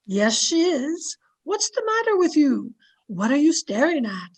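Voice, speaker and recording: A woman's voice: sits high, sing-song, measured pace, exaggerated voice. A woman playing a frank, protective housekeeper and confidante, firm and plain-spoken, in old-time radio drama style. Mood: brisk, scolding.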